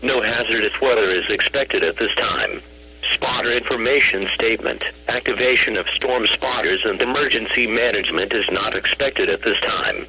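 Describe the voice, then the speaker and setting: A synthetic man's voice reading a scripted weather bulletin over the radio, formal and flat, in clipped phrases. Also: fairly low pitch, moderate pace, crowd noise. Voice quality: synthetic text-to-speech voice. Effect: radio broadcast. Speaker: automated weather radio announcer. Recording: noisy, humming weather radio broadcast recording.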